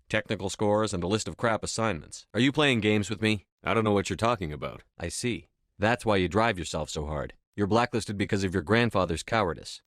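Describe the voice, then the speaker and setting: Low-voiced, stern military commander played by a man. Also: no room sound, lightly acted. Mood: firm, probing. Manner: measured, dry, authoritative. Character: stern, steady male space-navy commander. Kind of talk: video game dialogue acting.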